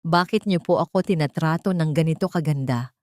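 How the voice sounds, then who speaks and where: Woman as a humble, insecure young daughter-in-law, lowish voice. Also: a little fast, darkish tone, no room sound, clean studio sound, close to an everyday voice. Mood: tearful, insecure. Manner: trembling, self-deprecating, pleading.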